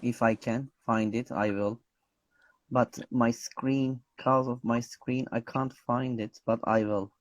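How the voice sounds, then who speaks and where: A man with a Turkish accent hosting an online interview, polite and soft-spoken. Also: mid-range voice, fairly even intonation, slow, no echo. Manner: polite, soft-spoken, frequent laughs and thanks. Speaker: interviewer host. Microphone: video call.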